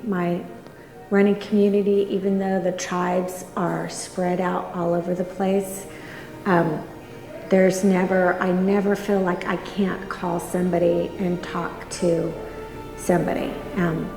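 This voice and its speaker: A woman speaking earnestly and haltingly about her running community in an interview. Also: lowish voice, vocal fry, dark tone, roomy sound, long reverb tail, rough recording. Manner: earnest, halting, many fillers. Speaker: interviewee, runner. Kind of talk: heartfelt interview talk.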